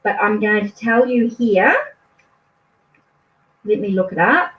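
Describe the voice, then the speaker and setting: A woman's voice: medium pitch, takes it slowly. A woman from New Zealand with a New Zealand accent, giving a tarot card reading to a viewer in a reassuring way and often ending phrases with a questioning "okay?". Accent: New Zealand English. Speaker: tarot reader. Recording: echoey, distant, dull lo-fi sound.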